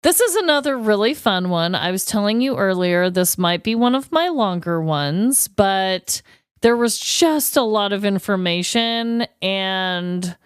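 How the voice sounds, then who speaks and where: A woman's voice: medium pitch, lively intonation, measured pace, clean tone, dry recording, studio quality. A woman hosting a podcast, moving between casual chat and playful scripted storytelling. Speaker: podcast host. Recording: music bed behind the voice.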